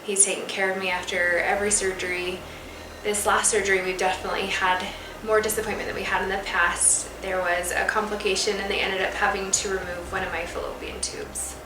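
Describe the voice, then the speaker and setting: A woman's voice: mid-range voice, level tone, brisk. A woman in her thirties telling her infertility story in an earnest, sincere way. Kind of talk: heartfelt personal storytelling. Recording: roomy, distant, noisy.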